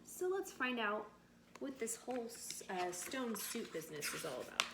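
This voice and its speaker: A woman's voice: on the high side, sing-song, normal speed, gravelly, fairly bright tone. A woman reading a storybook aloud expressively to children, with simple explanations, like a teacher. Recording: roomy echo with faint background noise.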